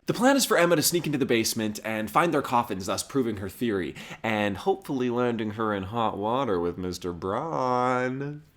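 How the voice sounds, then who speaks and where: A man's voice: medium pitch, animated, normal speed, few pauses, fairly bright tone. A man giving sarcastic, wisecracking scripted commentary as he recaps a show. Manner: mocking, wisecracking. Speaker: comedic reviewer narrating an episode summary. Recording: music behind the voice. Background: music.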